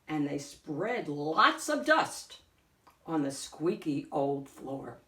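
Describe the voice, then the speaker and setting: A woman reading a picture book aloud as host, in a deliberate, theatrical storytelling way. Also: low voice, very animated, bright, forceful tone, distant mic. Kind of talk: expressive picture-book narration. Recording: echoey room, off the mic.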